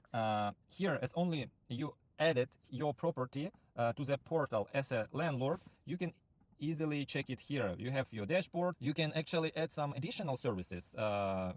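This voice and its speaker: A man whose first language is not English, giving a halting sales pitch for a rental platform, full of fillers and restarts. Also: no echo. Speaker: property platform founder recruiting sub-agents. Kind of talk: screen-share walkthrough pitch.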